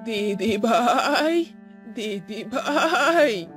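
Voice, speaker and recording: A woman acts an elderly village matriarch for a cartoon. She speaks slowly in a fairly high voice. Music sits behind the words. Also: animated, fairly bright tone, heavily acted. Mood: anxious calling. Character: older village woman, mother-in-law or grandmother, plaintive and scolding. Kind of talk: Bengali cartoon voice acting.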